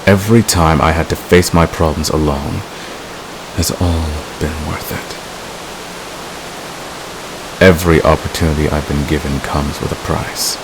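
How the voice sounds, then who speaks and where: A man playing a devoted, manipulative villain in a romantic ASMR roleplay, speaking with deliberate, possessive intensity. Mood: devoted, resolute. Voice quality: very deep, gravelly. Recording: clear background hiss.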